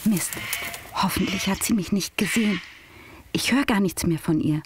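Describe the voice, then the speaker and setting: A woman playing a curious, excitable teenage girl in an audio drama, with a slangy, youthful manner. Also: mid-range voice, normal speed, noisy background, close to an everyday voice. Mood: nervous.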